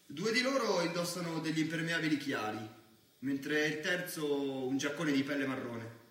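A man's voice: on the high side, lively intonation, moderate pace, a bit bright. An actor playing an anxious, streetwise teenage boy in an emphatic, colloquial theatrical monologue. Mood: vivid recounting. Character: anxious, restless teenage boy, streetwise student narrating his evening. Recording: echoey theatre stage from far off.

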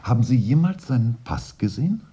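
A man's voice: mid-range voice, sing-song, a little fast, mellow, reverberant, natural voice. An actor playing a restless, suspicious young English vice-consul in his twenties in a German radio drama, questioning and opinionated. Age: 20s.

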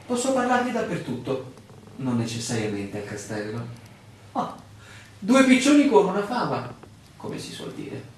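A man's voice: a little high, wildly swooping pitch, moderate pace, long pauses. An actor playing a stubborn, intense male outsider in a radio drama, insistent and ironic in his questioning. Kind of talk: Italian radio drama acting. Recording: echoey room, distant mic, faint noise.

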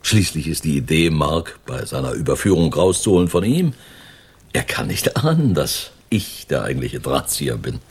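A cynical middle-aged crook and lover in a radio crime play. His voice sits very low and is rough and husky. The delivery is sardonic and scheming, yet the intonation moves widely. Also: sing-song, moderate pace, heavily acted. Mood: calculating, assured. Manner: sardonic, world-weary. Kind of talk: German radio crime drama acting.

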